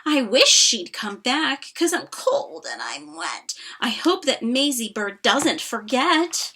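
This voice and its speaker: Woman playing an earnest, lively storybook elephant. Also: on the high side, slow pace, slightly rough, exaggerated voice. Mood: miserable. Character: gentle, faithful, earnest huge male elephant. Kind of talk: expressive children's storybook read-aloud.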